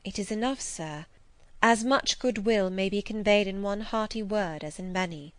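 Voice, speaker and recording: A woman's voice: medium pitch, moderate pace, clear, resonant tone, dry recording, lightly acted. A woman voicing a polite but firm young governess in a dramatised classic novel, respectful, composed and formal. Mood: reserved.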